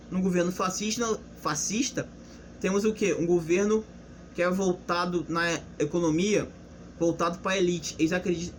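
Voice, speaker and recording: A man's voice: high-pitched, slight background noise. A young man giving a casual, unscripted history lesson, explaining loosely with restarts and rhetorical questions. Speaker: history presenter.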